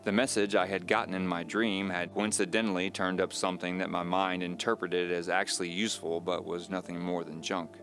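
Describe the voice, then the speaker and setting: A man playing an uneasy man recounting his own mystery in measured, confiding, scripted audio drama narration. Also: sits low, level tone, fairly bright tone, faint music behind. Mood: puzzled, dejected.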